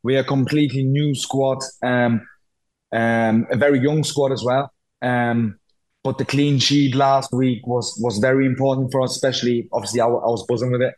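Young male German footballer, slight Scouse lilt, casual halting interview delivery, little pitch movement. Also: fairly even intonation, darkish tone, low-quality recording. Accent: German with a touch of Scouse. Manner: halting, repeats words. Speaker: football goalkeeper being interviewed.

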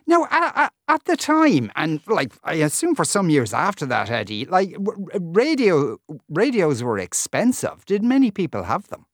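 A man's voice: sits high, huge pitch swings, moderate pace, few pauses, no room sound, clean studio sound. A man with an Irish accent hosting a conversational radio interview, often repeating words as he forms his questions.

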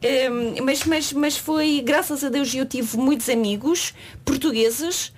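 Brief: woman in her 30s, Ukrainian accent, lively and talkative, slightly high voice, radio studio guest. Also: slow. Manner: lively, talkative, laughs often. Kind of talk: radio interview chat.

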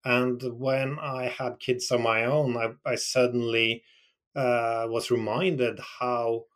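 Man from norway, film director, mid-pitched voice, thoughtful, hesitant, interview. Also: flat delivery, slow pace, fairly bright tone. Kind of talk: interview answer.

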